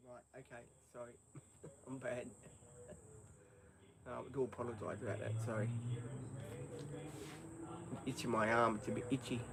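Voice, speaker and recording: An Australian man in his fifties talks casually on a live stream, rambling and hesitant, filling gaps with ums. He is outdoors, with crickets and insects chirping clearly behind him. His pitch sits in the ordinary middle. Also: level tone, normal speed, pauses often, noisy background. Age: 50s. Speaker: livestreamer.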